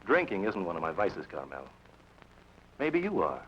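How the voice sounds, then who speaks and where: An actor playing a suave, menacing outlaw who talks in a smooth, sardonic, commanding way, in 1940s radio acting. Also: male voice, deep voice, huge pitch swings, moderate pace, a little hiss or hum. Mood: mocking. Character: suave menacing outlaw brother, cold and mocking. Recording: muffled old radio broadcast with music.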